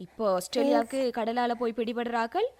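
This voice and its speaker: An actress with a Sri Lankan Tamil accent plays a busy young translator in a radio drama, brisk and hurried. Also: female voice, on the high side, fairly even intonation, a little mellow, natural voice.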